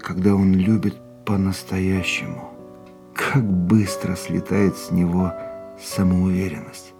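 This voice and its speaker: An actor playing an anxious, earnest man in love in a radio drama, with halting theatrical delivery. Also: low voice. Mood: self-doubting, reflective. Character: man in love, anxious, pleading, self-doubting suitor. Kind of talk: radio play dialogue and inner monologue.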